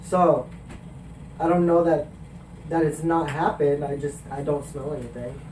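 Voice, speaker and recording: A man's voice: fairly high pitch, flat delivery, measured pace, darkish tone, slight background noise. Nasal-voiced man in an echoey room. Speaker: podcast co-host. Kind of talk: casual crude banter. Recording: echoey room, mic at a distance.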